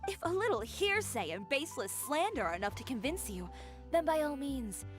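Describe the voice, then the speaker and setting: An actress playing a sharp-tongued, proud young fashion designer in a video game, sardonic and crisply commanding. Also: female voice, on the high side. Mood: defiant. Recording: music behind the voice.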